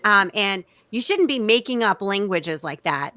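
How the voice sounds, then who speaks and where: A woman hosting a casual discussion as a comedian, talking in an animated way and acting out quoted remarks. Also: mid-range voice, moderate pace, noisy background. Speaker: host and stand-up comedian.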